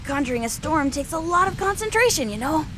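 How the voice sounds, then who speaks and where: A woman's voice: high-pitched, big pitch swings, fast talker, a bit bright. A woman voicing a smug, breezy storm god in an audio drama, casual and offhand in a taunting way.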